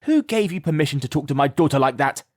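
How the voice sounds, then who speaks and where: A man's voice: on the high side, animated, rapid-fire, darkish tone, no echo, clean studio sound, pitched above the performer's own voice, lightly acted. A man voicing a protective mother who speaks up firmly. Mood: defiant. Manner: firm, raised voice. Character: protective mum, firm and defiant.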